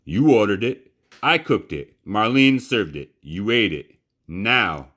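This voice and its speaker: A man's voice: fairly low pitch, expressive, slow pace, a bit bright, pitched above the performer's own voice, heavily acted. A man reading a storybook and voicing a big, domineering diner owner, blunt, insistent and confrontational. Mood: angry, demanding. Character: large, menacing, domineering male diner owner and cook.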